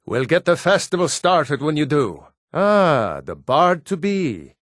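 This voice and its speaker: A man voicing an earnest Nord townsman in a fantasy video game, speaking scripted lines in a measured, formal way. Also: a little high, very animated, fairly bright tone, no echo, studio quality, heavily acted. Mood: cheerful.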